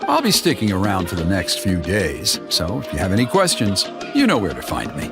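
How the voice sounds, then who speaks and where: A slick flattering village elder, voice swoops up and down, game music behind. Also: male voice, deep voice, brisk, heavily acted. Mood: smooth. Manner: suave, flattering, persuasive. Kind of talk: game voice acting.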